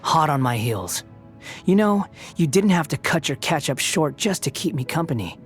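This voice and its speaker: A smug, cynical former villain. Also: male voice, on the high side, very animated, normal speed, faint music behind. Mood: mocking. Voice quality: smug, dry, sardonic. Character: young man, a sardonic, cynical former villain. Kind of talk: video game voice acting.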